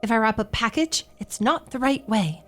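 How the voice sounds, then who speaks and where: A woman's voice: on the high side, lively intonation, normal speed, natural voice. An actress playing an eager, ingratiating and plucky shop girl in a radio play. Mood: upset, pleading. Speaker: actor. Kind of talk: radio theatre acting.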